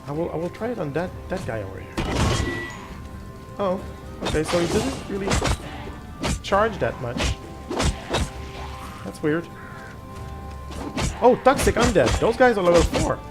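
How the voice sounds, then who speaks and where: A man's voice: high-pitched, big pitch swings, normal speed, long pauses. A middle-aged man whose first language is French, casually commenting on a game as he plays, thinking aloud with restarts and little self-deprecating asides. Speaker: gaming channel host. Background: game music.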